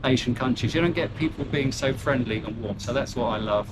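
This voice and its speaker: An older man with a British accent talks as an interview guest, candid and a bit self-deprecating. He wanders through his answers with plenty of fillers and restarts in a middle-pitched voice. A clear layer of ambient noise sits under the recording. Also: fairly quick. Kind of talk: casual chat.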